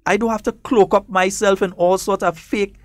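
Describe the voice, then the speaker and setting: A man's voice: high-pitched, steady tone, moderate pace, no room sound. A man with a Trinidadian Grenadian accent, hosting a political talk show in a studio, emphatic and defiant, repeating points for effect. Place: radio studio.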